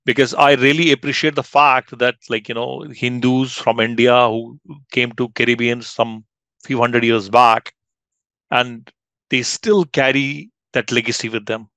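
Gravelly middle-aged man hosting a call. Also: mid-range voice, fairly even intonation, sharp tone, dry recording. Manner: halting, repeats words. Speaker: host. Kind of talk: interview questions. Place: small room with green wall. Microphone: headset on video call.

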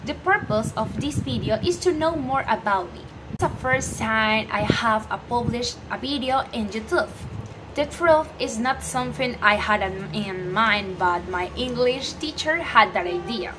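A teenage girl from Ecuador, a native Spanish speaker, introduces herself to her phone camera in a small room. She speaks cheerful, halting English with an Ecuadorian Spanish accent and often stops to search for words. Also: on the high side, lively intonation, background noise. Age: teens. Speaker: student vlogger. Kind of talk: casual self-introduction to camera. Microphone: phone front camera at arm's length.

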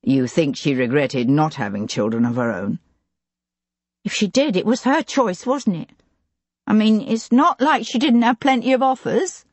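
A woman voicing a plump, tearful older housekeeper who talks on anxiously and pleadingly, with a breathy voice. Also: mid-range voice, lively intonation, normal speed, a little mellow, no echo, pitched above the performer's own voice. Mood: gossipy.

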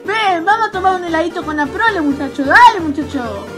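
Woman doing a very high-pitched timid child. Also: animated, brisk, over music. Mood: pleading. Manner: pleading, polite. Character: naive, friendly, timid child hero.

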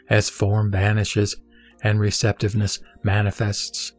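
Male reader, mid-pitched, solemn measured scripture recitation, dry close voice, faint music bed. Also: darkish tone, dry recording. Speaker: sutra reader.

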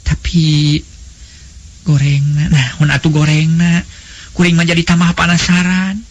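A man's voice: a little high, monotone, unhurried, pitched above the performer's own voice. A man voicing an impatient, jealous young noblewoman, a demanding younger sister with a Sundanese accent, in a storybook tale. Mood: eager, curious. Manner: eager, demanding.

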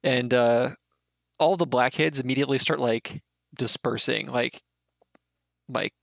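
A man's voice: mid-range voice, steady tone, a little slow, gravelly, a bit bright, no room sound. A man in his thirties narrating a tabletop game as game master over a video call, rambling with lots of fillers. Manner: rambling, filler-heavy, often laughing.

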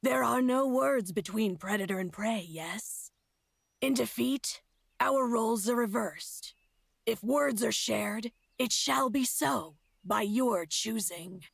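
Husky, creaky feral huntress. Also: female voice, fairly high pitch, no echo, full character voice. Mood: solemn, cryptic. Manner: slow, cryptic, solemn speech. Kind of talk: fantasy game character acting.

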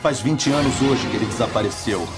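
A man voicing a worried young man gravely telling a spooky legend in a dubbed cartoon. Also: medium pitch, fairly quick, natural voice. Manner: grave, storytelling. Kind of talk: cartoon dub acting. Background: music score.

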